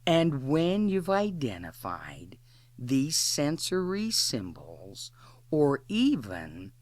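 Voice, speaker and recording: A woman guides an imagery meditation at an extremely slow pace, in short, deliberate phrases separated by long pauses. Her pitch moves up and down noticeably as she gives instructions, and the sound is dry and close. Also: wildly swooping pitch, darkish tone, no echo.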